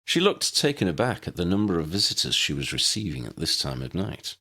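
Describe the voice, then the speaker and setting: Male audiobook narrator, low and gravelly, hugely varied intonation, scripted fiction. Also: very animated, a little fast, sharp tone.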